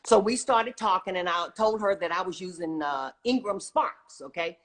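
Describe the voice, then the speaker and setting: A woman's voice: on the low side, moderate pace. A middle-aged woman talking casually and enthusiastically as an interview guest, emphatic and often checking in with "okay?". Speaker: guest, children's book author and retired teacher.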